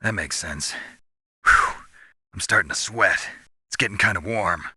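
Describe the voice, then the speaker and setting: An actor playing a gruff, sardonic special-ops soldier in a video game, terse and world-weary with a gravelly, husky voice. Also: male voice, sits low, a little slow, sharp tone, dry recording, clean studio sound, exaggerated voice. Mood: dry, weary.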